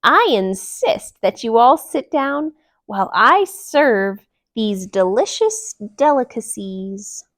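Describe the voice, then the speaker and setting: A bossy, excitable girl duck from a children's storybook, acted out by a woman. She gushes and gives orders in exclamations, with a raised pitch and friendly heart. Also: slow, full character voice. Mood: demanding. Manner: demanding, gushing, exclamatory.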